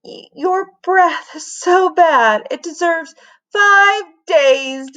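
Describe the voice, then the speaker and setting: A disgusted school staff woman from a storybook as a woman reader puts her on. The words come out slowly and high, strained with gasps and gagging, as if overwhelmed. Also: bright, forceful tone, heavily acted, poor audio. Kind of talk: storybook read aloud.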